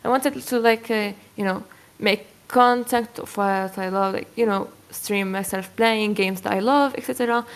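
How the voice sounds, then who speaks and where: A woman's voice: medium pitch, steady tone, normal speed. A young adult woman, a VTuber guest, talking hesitantly in a casual interview and leaning on "like" and "you know".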